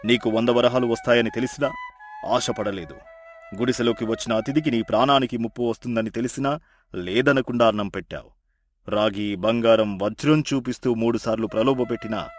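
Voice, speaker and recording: A man's voice: medium pitch, close to an everyday voice. A man playing a grave, benevolent river god, giving solemn pronouncements in a moral folktale. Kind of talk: animated moral folktale acting. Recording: music behind.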